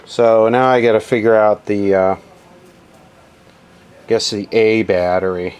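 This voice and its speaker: A man's voice: fairly low pitch, steady tone, measured pace, darkish tone, clear, resonant tone. A man giving a casual, matter-of-fact running commentary as he does hands-on repair work, thinking aloud. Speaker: electronics repair video host.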